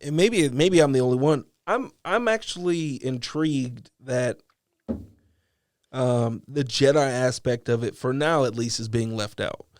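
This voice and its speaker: A man's voice: mid-range voice, unhurried, no echo. A man co-hosting a podcast, chatting casually in a thoughtful, rambling way with many fillers. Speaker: podcast co-host. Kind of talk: casual chat.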